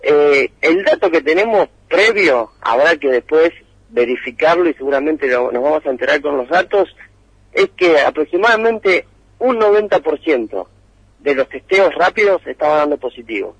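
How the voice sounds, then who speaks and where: A man giving a meandering radio report by phone, pausing often on fillers. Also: fairly high pitch, expressive, slightly rough, dry recording, rough recording. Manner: meandering, hesitant with many fillers.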